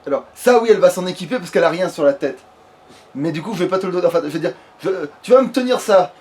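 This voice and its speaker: A man's voice: a little high, lively intonation, very fast, a little mellow. A man giving casual let's play commentary, hesitating, muttering to himself and swearing casually.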